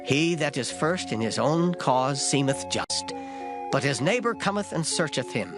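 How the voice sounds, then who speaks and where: A man reads scripture as a dramatic performance, solemn and declamatory. His intonation rises and falls expressively, and plucked string music plays clearly behind him. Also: a little high, sing-song, measured pace. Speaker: scripture reader. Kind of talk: dramatized Bible reading.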